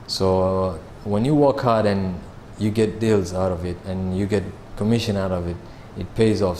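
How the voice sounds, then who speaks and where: A man, answering interview questions about his sales job in a measured way, with frequent fillers and restarts. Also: low voice, a little mellow, a little hiss or hum. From Kenya. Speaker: sales manager.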